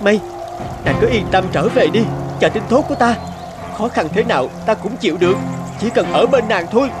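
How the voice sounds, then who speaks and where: A man's voice: high voice, animated, a little fast, over music. A man voicing a devoted, brave young suitor in a folktale cartoon, sincere and stubborn. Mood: reassuring. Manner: resolute, sincere, declarative. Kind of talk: dubbed folktale cartoon acting.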